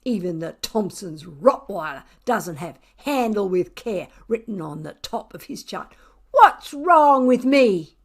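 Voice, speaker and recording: A woman voicing a grumpy, sardonic pet cat in an indignant, self-justifying storybook narration with drawn-out emphasis. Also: mid-range voice, sing-song. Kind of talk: expressive children's storybook reading.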